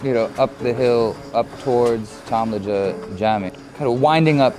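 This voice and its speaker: An American man speaking reflectively in a documentary interview, measured and thoughtful, often saying "you know". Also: mid-range voice, music in the background. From United States.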